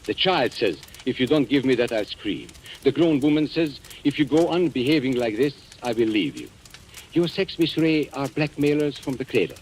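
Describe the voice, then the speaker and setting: A man's voice: mid-range voice, expressive, moderate pace, close to an everyday voice, poor audio. A man playing a suave, menacing antique dealer and blackmailer in an old radio mystery, polite, smug and theatrical. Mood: boastful. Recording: old radio recording with background hiss.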